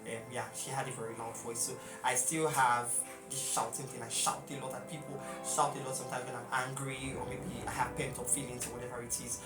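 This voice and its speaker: A man's voice: medium pitch, little pitch movement, fairly quick. A young man with a Nigerian accent, earnestly recalling family memories in an interview. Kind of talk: reflective interview answers. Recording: roomy echo, distant mic, loud music behind.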